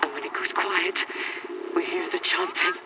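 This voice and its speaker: A man's voice: on the high side, fairly even intonation, moderate pace, noisy background. An actor playing a frightened, urgent man sending formal, pleading distress transmissions over radio in a sci-fi game. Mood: fearful, hushed. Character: frightened, desperate male radio broadcaster in hiding during invasion.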